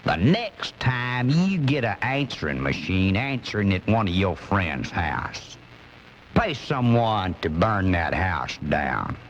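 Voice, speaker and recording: A man playing an indignant blue-collar American right-wing crank, ranting with drawn-out emphasis in a comic radio monologue. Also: sits low, sing-song, a little hiss or hum, full character voice. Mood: exasperated, indignant. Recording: muffled off-air cassette, music behind.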